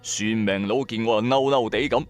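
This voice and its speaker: A man's voice: fairly low pitch, normal speed, natural voice. A man playing a bankrupt, hot-tempered trader who narrates his own story in colloquial, emphatic style for a radio drama. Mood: irritated, skeptical. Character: bankrupt male electronics trader, hard-pressed, hot-tempered, streetwise. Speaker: first-person narrator and lead. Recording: background music under voice. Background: music.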